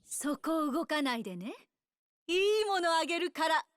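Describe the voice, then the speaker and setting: High, teasing anime temptress. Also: female voice, animated, quick pace, no echo, clean studio sound. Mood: seductive, menacing. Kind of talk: Japanese anime game battle voice lines.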